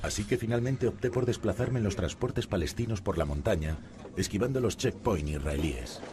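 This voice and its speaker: A man narrating a documentary in the first person, in a calm and measured way. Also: fairly low pitch, background noise. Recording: music playing behind.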